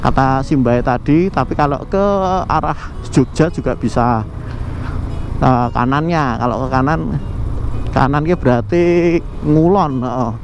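A man with a Javanese accent, chatting casually and excitedly while riding a motorbike outdoors, with frequent laughs. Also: medium pitch, unhurried, noisy background. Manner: excited exclamations, laughs often, mixes in Javanese. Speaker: motovlogger. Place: outdoors on a moving motorbike, rural roads. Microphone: helmet intercom mic, chest camera.

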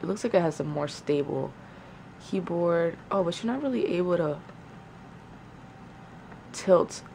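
Slow-talking young woman, casual and swearing. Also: lowish voice, lively intonation, dark tone, slight background noise. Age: young adult. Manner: casual, swears, mutters to herself while working. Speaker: vlogger. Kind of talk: casual vlog chat. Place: small bedroom indoors by a window.

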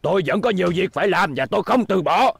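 An actor playing a stubborn, gruff old station chief in a cartoon dub, emphatic and unyielding. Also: mid-range voice, animated, fast talker, no room sound, clean studio sound. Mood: defiant. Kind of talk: Vietnamese cartoon dubbing. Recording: background music under dubbed dialogue.

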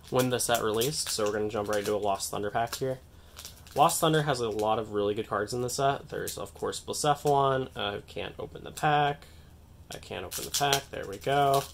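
A young man with a cold-stuffed, nasal voice talks in a loose, excitable way, often joking at his own expense. His pitch sits in an ordinary middle range. A faint room hiss runs underneath. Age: young adult. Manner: excitable, rambling, self-mocking. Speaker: card-opening channel host. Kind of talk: casual unboxing vlog. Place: indoors at a table, hands on camera.

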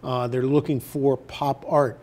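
An older man giving halting explanations as a guest in a casual TV studio interview. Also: mid-range voice, level tone, a little mellow. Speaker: newspaper editor as interview guest. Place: TV news studio, indoors at a desk.

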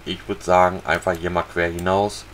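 A man casually talking viewers through a fishing video game, relaxed and chatty. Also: low-pitched, moderate pace, a little hiss or hum. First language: German. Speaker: gaming channel host. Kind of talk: casual let's-play commentary.

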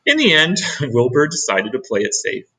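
A middle-aged man giving an expressive read-aloud of a children's storybook. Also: a little high, moderate pace, slightly rough, rough recording. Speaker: storybook reader.

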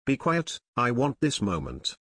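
A man's voice: mid-range voice, wildly swooping pitch, measured pace, a bit bright, slightly rough, dry recording, studio quality. A man voicing a comedic meme video with a playful scripted delivery.

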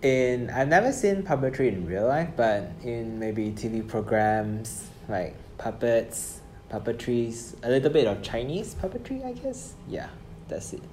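A man's voice: mid-range voice, lively intonation, measured pace, darkish tone, a little hiss or hum, rough recording. A young man from Malaysia giving tentative interview answers, searching for words, indoors by a projection screen.

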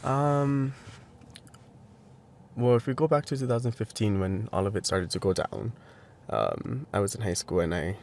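A man in his twenties from Hawaii, answering interview questions outdoors in a halting way with many pauses and restarts. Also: on the low side, a little mellow, a little hiss or hum. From Hilo, Hawaii. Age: 20s. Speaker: interviewee, Hawaiian language teacher. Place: outdoors at a protest camp.

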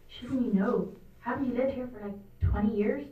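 A woman's voice: a little high, moderate pace, narrow, tinny audio. A young woman performing in a student comedy sketch, making sarcastic asides on a stage. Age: young adult. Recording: roomy, distant, audible background noise.

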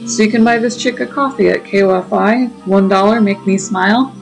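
A woman's voice: mid-range voice, a little fast, creaky, low-quality recording. A woman hosting a gaming channel, giving casual commentary as she plays. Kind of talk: casual game playthrough commentary. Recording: game music behind the voice.

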